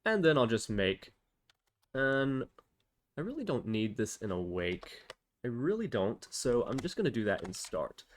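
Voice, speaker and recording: A man's voice: mid-range voice, very animated, unhurried. A man hosting a casual screencast programming tutorial, thinking aloud as he explains each step informally.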